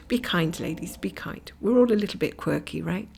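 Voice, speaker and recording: A middle-aged woman from Scotland chatting casually while she cross-stitches at home, rambling from topic to topic and often dropping to a whisper, her voice croaky and her nose blocked. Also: lowish voice, lively intonation, normal speed, a little mellow, a little hiss or hum. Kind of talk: casual stitch-along chat. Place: at home, camera over her stitching hoop.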